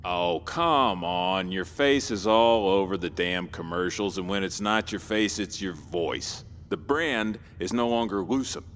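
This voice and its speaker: A man's voice: sits low, a little slow, few pauses, a bit bright. An actor playing a blunt, condescending male board member in an audio drama. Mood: scornful. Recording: reverberant hall, faint noise.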